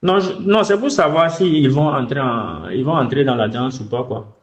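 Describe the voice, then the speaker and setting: A man's voice: medium pitch, normal speed. A man with a West African French accent talks in a private phone conversation, hesitantly, often repeating his words. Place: indoors, in a bedroom on a phone call. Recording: echoey, dull phone call recording.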